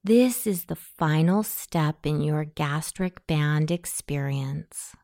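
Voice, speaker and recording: Female meditation guide, soothing and extremely unhurried, mellow tone, close dry sound. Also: sits low, dry recording. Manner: soothing, measured, long pauses between phrases. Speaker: hypnosis session guide. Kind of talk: guided meditation, self-hypnosis narration.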